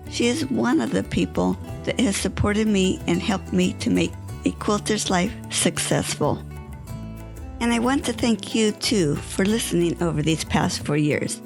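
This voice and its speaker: A woman hosting a quilting podcast, speaking in a gentle, measured way as she interviews a guest. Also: medium pitch, gravelly. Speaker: podcast host.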